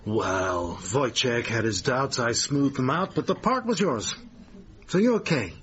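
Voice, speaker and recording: An actor playing a smooth, manipulative theatre manager and controlling lover in a naturalistic radio drama. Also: male voice, mid-range voice, expressive, unhurried, fairly bright tone, highs cut off. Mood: upbeat, smug. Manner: cajoling, domineering, self-satisfied.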